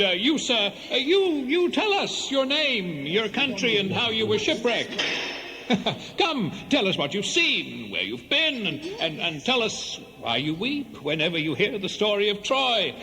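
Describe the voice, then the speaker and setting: An actor playing a jovial, fussy feasting king, excitable and stammering, in a radio play. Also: sits high, full character voice. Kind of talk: 1970s radio play acting. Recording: reverberant hall with crowd behind.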